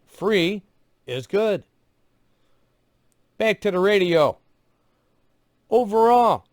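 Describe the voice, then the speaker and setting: A man's voice: sits high, dry recording. A man narrating a product review in a conversational, scripted way, plainspoken, with short clipped phrases and dry asides.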